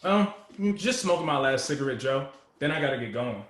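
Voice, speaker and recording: A man acting an easygoing homeless guy, lively, echoey. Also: medium pitch, a little fast, lightly acted. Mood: casual. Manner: colloquial, folksy street talk. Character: easygoing, good-natured homeless man, gentle and appreciative. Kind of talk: dramatic table reading of a stage play. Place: indoor room with echo, virtual reading. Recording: echoey room.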